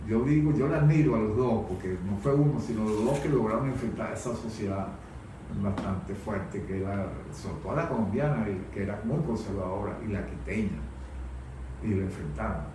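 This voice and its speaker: A man giving opinions as a panel guest, haltingly, often repeating small words as he searches for phrasing. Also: fairly low pitch. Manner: halting, stammering repetitions of words. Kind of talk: opinionated unscripted discussion. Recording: very echoey room, distant mic, faint noise.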